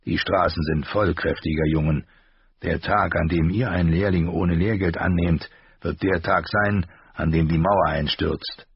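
A man voicing a grave, patient noble lord who speaks low, slow and measured. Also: fairly even intonation, breathy edge, no echo, exaggerated voice. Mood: stern. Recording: dull lo-fi recording.

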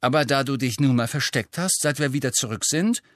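A man's voice: medium pitch, a little fast, a bit bright, no echo, studio quality, voice pushed up, natural voice. A male narrator voicing a blunt, cool, matter-of-fact younger teenage girl in an audiobook. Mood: angry, blunt.

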